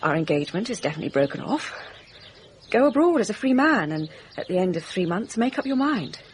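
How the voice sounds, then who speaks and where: An actress playing a composed, formal young gentlewoman hiding hurt in a British radio mystery drama. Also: mid-range voice, sing-song, fairly quick, a little hiss or hum, lightly acted. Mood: earnest, resolute. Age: young adult. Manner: controlled, formal, decisive.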